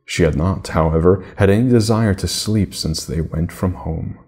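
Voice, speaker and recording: A man in his thirties reading a fairy tale aloud as storybook narration, in a measured, deliberate way with formal diction. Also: sits low, a little mellow, slightly rough.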